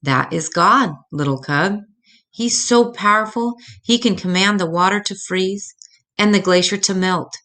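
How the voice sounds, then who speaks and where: A woman voicing a gentle, patient mother bear who teaches tenderly and reassuringly in a children's storybook. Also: mid-range voice, unhurried, pitched above the performer's own voice, natural voice. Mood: reverent.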